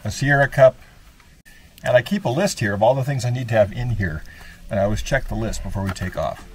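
A man's voice: mid-range voice, brisk. An older man outdoors on a desert trail, casually showing the gear in his day pack one item at a time, recorded by a camera a short distance away. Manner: relaxed, listing gear item by item. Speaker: hiking vlogger. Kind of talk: casual show-and-tell. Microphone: camera some distance away.

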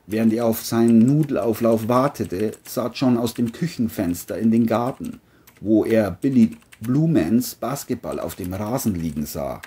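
Woman narrator for a crime audiobook, super deep voice, kinda raspy. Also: mellow. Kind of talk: scripted crime story narration.

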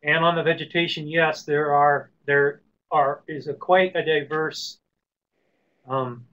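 Hoarse guy getting over a cold, clears his throat a lot, muffled call audio. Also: fairly high pitch, fairly even intonation, a bit bright. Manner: halting, many restarts and throat clearing. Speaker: researcher answering webinar questions. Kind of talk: webinar Q&A.

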